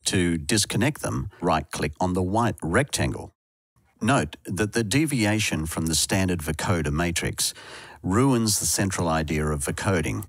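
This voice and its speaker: Male tutorial narrator, low pitch with lively rises and falls, scripted and explanatory. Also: a little slow, no echo, clean studio sound.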